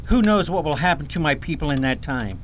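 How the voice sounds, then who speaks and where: A man's voice: fairly high pitch, lively intonation, fairly quick, fairly bright tone, slight background noise. A man playing a stubborn, serious boy prince in a fantasy radio drama, speaking tersely and earnestly. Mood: urgent, insistent.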